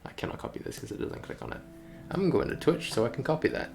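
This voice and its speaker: A man's voice: medium pitch, wildly swooping pitch, fast, creaky. A man chatting casually on a livestream while he works, rambling and thinking aloud as a game developer. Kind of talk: casual chat while working on stream. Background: music playing behind the voice.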